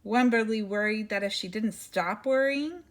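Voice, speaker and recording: A woman's voice: medium pitch, normal speed. A woman reading a picture book aloud expressively, like a teacher talking to young children, with asides to her listeners.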